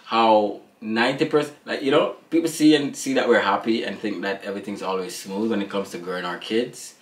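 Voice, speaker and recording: A Jamaican man in his thirties co-hosting a casual family vlog chat, animated and slangy, laughing often. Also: fairly low pitch, a little fast. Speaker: family vlogger, co-host. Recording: echoey room with faint noise.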